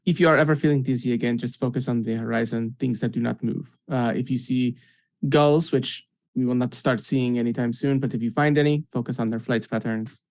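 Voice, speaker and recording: A calm, protective sailor, put on by a woman with a very low voice. He talks slowly and carefully, steadying a seasick passenger. The delivery is gentle and reassuring. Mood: advising. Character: gentle male pirate sailor, French, protective.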